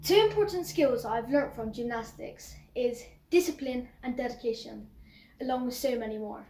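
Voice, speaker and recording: A woman's voice: on the high side, normal speed, a little mellow, echoey room, a little hiss or hum, low-quality recording. A woman from Bristol with an English accent, a gymnast speaking in an upbeat way. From Bristol, England.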